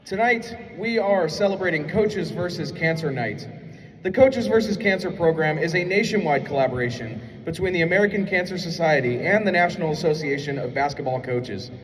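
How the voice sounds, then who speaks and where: A man's voice: fairly high pitch, expressive, a little fast. A man making formal basketball announcements over a gym PA in a projected showman's delivery. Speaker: public address announcer. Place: indoor college basketball gym. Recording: echoey PA in a gym, distant mic.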